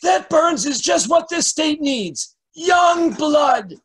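A man voicing a crabby, cantankerous old man squabbling loudly in an amateur cartoon table read. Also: extremely high pitch, animated, slow pace, pitched above the performer's own voice, heavily acted. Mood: crabby, shouting. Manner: loud, cantankerous.

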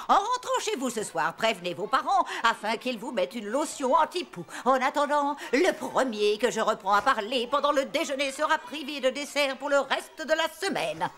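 Casting: a strict cartoon schoolmistress, high and swooping, scolding and commanding. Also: female voice, fairly bright tone. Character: strict, exasperated, bossy female schoolteacher. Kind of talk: children's cartoon acting. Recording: music and child crowd behind.

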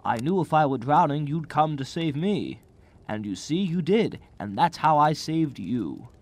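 A man playing a gentle, naive old angel in a radio drama, earnest, quaint and a little prim. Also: on the high side, lively intonation, measured pace. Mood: earnest, matter-of-fact.

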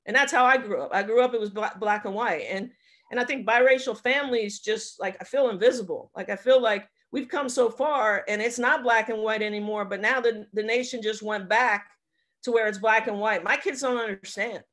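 A woman in her fifties, a basketball coach, telling a personal story in an earnest, halting way over a laptop video call from home. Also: on the high side, fairly bright tone. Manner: earnest, halting, with many restarts. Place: home room, indoors. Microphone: laptop webcam on a video call.

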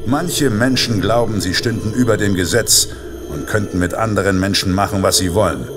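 An actor playing a vengeful vigilante killer in a Santa costume in a horror audio drama, speaking with deliberate, self-righteous menace. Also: male voice, on the low side, noisy background, close to an everyday voice. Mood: grim, self-righteous.

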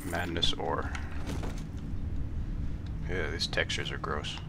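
A man casually commenting on his gameplay, a little hoarse from a cold, talking in a relaxed, halting way with many ums. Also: very deep voice, very noisy. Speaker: let's play gamer. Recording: game music playing behind.

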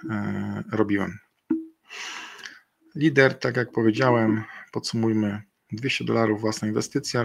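A middle-aged man walks through slides in a webinar from a room at home, speaking into a webcam. His voice is gravelly, at an ordinary pitch, and he often stops and restarts with hesitant fillers. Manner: halting, many fillers and restarts. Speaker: webinar presenter. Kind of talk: slide presentation. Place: indoor room. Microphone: video call webcam.

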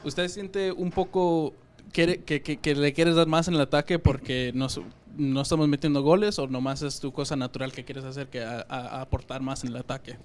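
A man's voice: on the high side, steady tone, normal speed. A male reporter asking a player questions at a press conference, informal and restarting his phrasing.

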